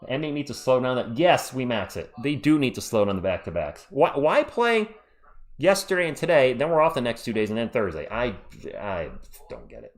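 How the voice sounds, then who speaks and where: A man hosting a sports live stream, talking in a loose, chatty way as he reads out viewer comments. His voice sounds worn out. His pitch sits in the ordinary middle range for a man. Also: very animated, fairly quick. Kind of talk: casual live stream chat reading comments.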